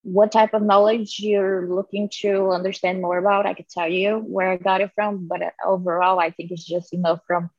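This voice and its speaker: A woman guest answering interview questions in a playful, deadpan way with many fillers. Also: medium pitch, normal speed, few pauses, no room sound, dull audio.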